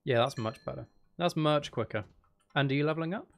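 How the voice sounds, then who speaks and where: A man's voice: fairly high pitch, a little fast, creaky. A man chatting casually and thinking aloud as he hosts a live game stream, talking to his viewers.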